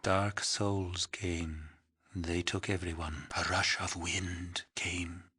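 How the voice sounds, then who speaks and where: A man playing an old, mystical village shaman in a video game, speaking solemnly and poetically in a husky, breathy, ceremonious way. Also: deep voice, little pitch movement, sharp tone, full character voice. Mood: weak, grieving. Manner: slow, ceremonious, poetic phrasing. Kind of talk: dramatic video game character acting.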